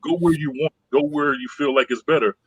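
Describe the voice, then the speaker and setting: A man co-hosting a casual podcast chat, calm and conciliatory, rambling and repeating phrases as he explains. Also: a little high, brisk, breathy edge, no room sound, muffled recording.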